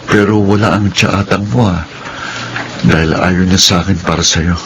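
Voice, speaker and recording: A timid, honest, poor young Filipino man in a radio drama. He speaks earnestly in a lowish voice, with clear background noise around him. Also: steady tone, normal speed. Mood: tender, apologetic. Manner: earnest, self-effacing.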